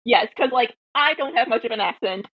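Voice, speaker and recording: A woman's voice: on the high side, expressive, a little fast, husky, dry recording, highs cut off. A woman from Minnesota chatting casually and eagerly explaining. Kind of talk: casual chat.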